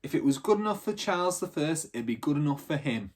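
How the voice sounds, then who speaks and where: A man's voice: on the high side, expressive, a little fast, voice pushed up. A man voicing an indignant, opportunistic market trader in a storybook, with blunt, grumbling street slang.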